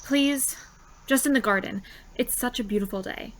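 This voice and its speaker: A woman's voice: on the high side, fairly quick, a bit bright, a little hiss or hum, lightly acted, rough recording. An actress playing a bubbly, persuasive, mischievous young woman in an audio drama. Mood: pleading. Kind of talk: naturalistic audio drama acting.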